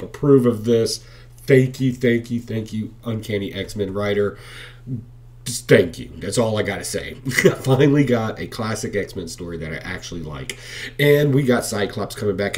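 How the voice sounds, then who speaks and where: A man in his thirties talks straight into a webcam from his living room. His voice sits a little low, and he rambles with fillers while giving enthusiastic, blunt opinions. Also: a little mellow. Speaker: comic book reviewer. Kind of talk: casual comic review vlog. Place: living room at home, indoors. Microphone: webcam facing him at close range.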